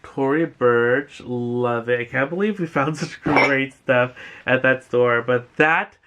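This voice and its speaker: A man's voice: medium pitch, slow pace, muffled recording, background noise. A young man hosting a casual thrift haul vlog from a couch at home, gushing and rambling with lots of fillers. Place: living room couch indoors.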